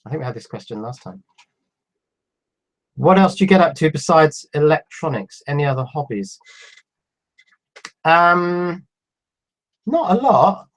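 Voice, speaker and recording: A man in his fifties with a cold, reading out viewer questions on a live stream and answering them in a relaxed, halting way. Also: fairly high pitch. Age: 50s. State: has a cold. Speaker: electronics YouTuber answering live chat. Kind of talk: casual live stream chat.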